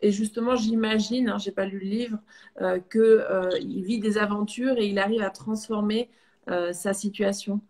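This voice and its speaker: A woman's voice: mid-range voice, steady tone, moderate pace, a little mellow. A woman host talking over a video call. She speaks in a mid-range voice and heaps enthusiastic, encouraging praise on her guest. Her speech is loose and conversational, with some restarts. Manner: effusive, encouraging, lots of praise. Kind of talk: live video call interview.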